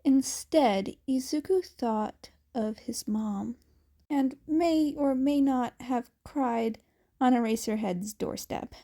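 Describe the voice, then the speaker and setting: A woman's voice: a little high, slow pace, warm tone. A woman reading scripted fan fiction narration.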